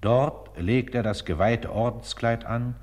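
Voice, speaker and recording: A man's voice: mid-range voice, measured pace, a little mellow. A man narrating a scripted biography solemnly for a radio play. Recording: long reverberant radio play recording.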